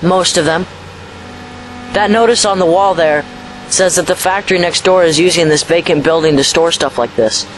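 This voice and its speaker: A man's voice: a little high, moderate pace, fairly bright tone, slight background noise, close to an everyday voice, rough recording. A performer tagged male playing a troubled, defiant, guilt-ridden young boy, stubborn and earnest, in an audio drama. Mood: defiant, bitter. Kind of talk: fan audio drama acting.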